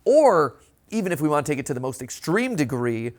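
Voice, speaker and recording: A man hosting a reaction show, theorizing casually in an animated, conversational way and often checking in with a tag question. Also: a little high, a little fast. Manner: animated, conversational, often ends points with "right?".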